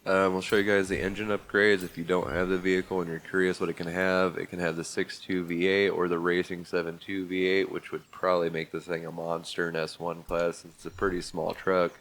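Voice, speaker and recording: A man's voice: deep voice, flat delivery, a little fast, hardly any pauses, fairly bright tone, a little hiss or hum. A man hosting a racing game video, talking casually through a vehicle build while congested from a bad cold. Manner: easygoing, methodical walkthrough with fillers. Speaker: gaming video host. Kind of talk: casual gameplay commentary.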